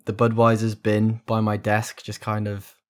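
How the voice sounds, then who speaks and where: Laid-back, swearing podcast co-host. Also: male voice, fairly low pitch, completely flat delivery, unhurried. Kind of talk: casual podcast banter.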